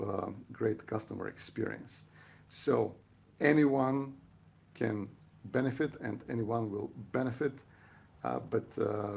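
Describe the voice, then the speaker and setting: A man explaining things on a podcast in a halting, deliberate way with formal wording. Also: fairly low pitch, creaky, darkish tone, slightly rough. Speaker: company chief executive on a podcast.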